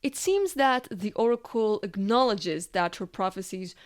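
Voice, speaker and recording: A woman giving scripted, analytical narration as a video essayist, explaining step by step with rhetorical questions and deliberate pauses. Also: mid-range voice, lively intonation.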